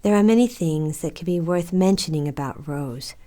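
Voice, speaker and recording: A woman's voice: low voice, normal speed, mellow, natural voice. A woman playing a strong-minded, apologetic, earnest mother in an audio drama. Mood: calm, reminiscing.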